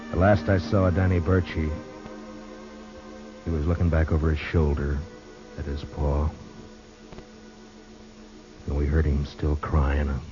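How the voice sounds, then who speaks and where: Casting: American frontier lawman, extremely deep voice, grave, firm but kind, muffled vintage radio sound. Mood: somber narration. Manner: sober, halting, plain-spoken. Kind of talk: 1950s radio western acting and narration. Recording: muffled 1950s radio recording.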